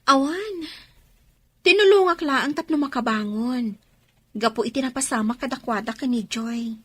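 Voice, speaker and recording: A woman acting an earnest, soft-hearted young student in a radio drama, with emotional delivery. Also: sits high, moderate pace, slightly rough, no echo. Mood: troubled. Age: young adult.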